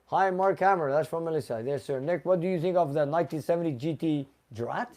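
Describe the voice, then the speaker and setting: Man, live show host, chatty, reads comments aloud, mellow tone. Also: fairly high pitch, a little fast. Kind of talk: casual live-stream chat reading viewer comments.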